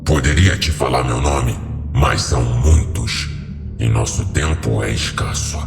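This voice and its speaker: A man voicing an ancient fallen angel, solemn and grandiose, in a slow audio horror story delivery with cavernous reverb. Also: creaky, heavily acted. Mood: solemn, mysterious. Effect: cavernous echo and reverb on a lowered voice. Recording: echoing hall reverb over music.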